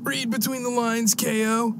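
A man's voice: very high-pitched, little pitch movement, a little slow, background noise. A man voicing a vain, boastful teenage alien slacker in a cartoon game, cocky and melodramatic. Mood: smug.

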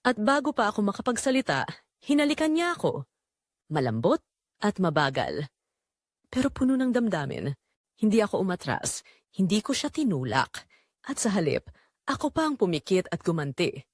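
A woman's voice: mid-range voice, big pitch swings, normal speed, long pauses, dry recording, clean studio sound. A woman playing a guilt-ridden young woman who confesses a forbidden love in an emotive, dramatic audio drama narration. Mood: tense longing.

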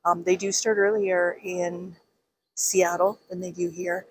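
A woman's voice: fairly low pitch, level tone, unhurried, rough recording. A woman in her fifties chatting casually in a vlog, with many ums and restarts, filming herself outdoors at arm's length with her phone. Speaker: vlogger. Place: outdoors on a city pier.

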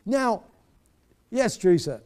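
A man's voice: sits high, lively intonation, slow pace, darkish tone, clear, resonant tone. A middle-aged man, a pastor, teaching a bible study from a church stage in a conversational way, often repeating words as he searches for them.

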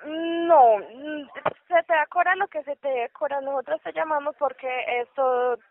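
Una mujer teleoperadora que hace una venta por teléfono con un discurso paciente y algo titubeante. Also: female voice, sits high, a little slow. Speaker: telemarketer selling electricity offers. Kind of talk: sales phone call. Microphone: telephone. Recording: muffled, tinny phone line.

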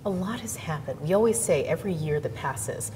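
A young woman TV reporter asking interview questions in a smooth, encouraging way. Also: lively intonation, fairly quick. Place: indoor exhibit hall. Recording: hall reverb, faint rumble.

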